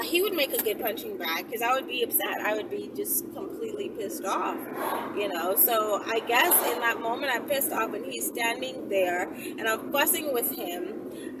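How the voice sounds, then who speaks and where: High-voiced woman rambling over loud noise. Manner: rambling, conversational, checking in with tag questions. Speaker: online video host answering viewer questions. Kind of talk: casual solo talk to viewers. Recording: distant mic, loud vehicle-like background noise.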